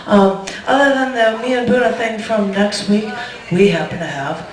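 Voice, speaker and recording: A woman's voice: mid-range voice, expressive, normal speed. A woman hosting an open mic who is losing her voice, giving rambling, giggly announcements. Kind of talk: casual announcements. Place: cafe performance room. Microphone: distant room mic. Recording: echoey room, far from mic.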